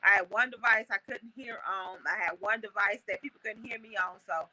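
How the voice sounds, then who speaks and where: A woman hosts a casual online book show, speaking from her phone. Her voice is bright and a little sharp. She talks in a loose, folksy way, full of fillers and restarts. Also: medium pitch, a little fast, rough recording. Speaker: host. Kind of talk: casual livestream chat.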